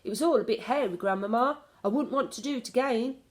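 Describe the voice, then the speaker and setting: A woman reading a children's storybook, voicing a plucky, excitable young boy with an eager manner. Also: a little high, fast, pitched above the performer's own voice, close to an everyday voice. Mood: eager, confiding. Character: excitable, plucky young grandson turned mouse.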